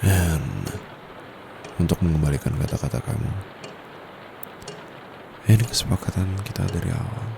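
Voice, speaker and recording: Male VA as teasing secret-dominant tutor, ASMR roleplay, extremely deep, gravelly, close-mic. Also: exaggerated voice. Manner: soft-spoken, teasing, slow and close. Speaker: voice actor. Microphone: close condenser mic. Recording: steady background noise with traffic.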